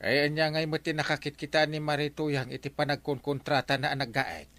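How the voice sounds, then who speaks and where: An actor playing an excitable village buddy in an Ilocano radio comedy drama, with an Ilocano accent. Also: male voice, fairly high pitch, a little fast, no room sound, lightly acted. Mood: teasing, surprised.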